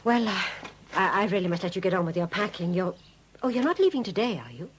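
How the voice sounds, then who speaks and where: Detective's wife, played by an actress, polite, inquisitive, animated intonation, slightly noisy vintage radio drama recording. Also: female voice, medium pitch, fast talker, lightly acted. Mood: concerned, probing. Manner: polite, expressive. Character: kindly, inquisitive policeman's wife, polite and gently persistent. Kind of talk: 1970s radio drama acting.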